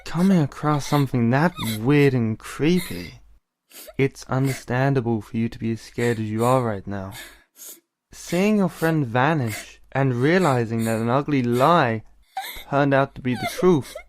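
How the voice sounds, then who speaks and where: Man acting a calm, bookish older brother. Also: medium pitch, a little hiss or hum, natural voice. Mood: gentle, sympathetic. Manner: measured, explanatory, slightly formal. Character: calm, caring, bookish older brother who explains things. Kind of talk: anime-style audio drama acting.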